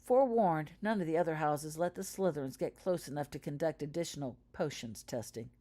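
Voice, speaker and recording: A woman's voice: sits low, brisk, mellow. A woman reading her own story aloud as scripted audiobook-style narration. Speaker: author reading own fan fiction aloud.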